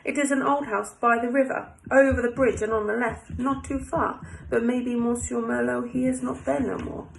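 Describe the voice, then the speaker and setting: Woman putting on a mournful Frenchman, pitched high. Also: fairly even intonation, moderate pace, vocal fry, few pauses, poor audio. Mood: shrugging. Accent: French, put on. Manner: broken English, short fatalistic phrases. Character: French cafe owner, a man, resigned and mournful. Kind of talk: storybook character voice.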